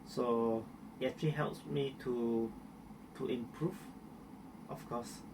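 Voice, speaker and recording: A man's voice: mid-range voice, fairly even intonation, slow, distant mic, background noise. A young man from Malaysia with a Malaysian accent, answering interview questions in a hesitant way with many restarts and fillers.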